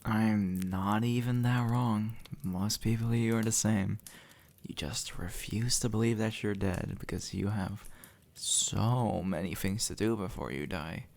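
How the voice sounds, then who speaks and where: A man's voice: lowish voice, fairly even intonation, unhurried, creaky, a little mellow. A man playing a sardonic, impatient demon in a conversational audio roleplay, blunt and sarcastic, speaking straight to the listener. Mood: exasperated, sarcastic. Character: sardonic, impatient male demon, centuries dead, gruffly reassuring.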